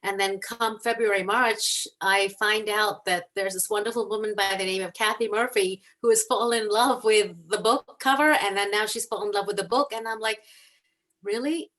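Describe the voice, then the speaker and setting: Woman guest author from Hong Kong, earnest and animated, mid-pitched, video call audio. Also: normal speed. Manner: earnest, animated storytelling with frequent ums. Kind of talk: casual chat on a video call.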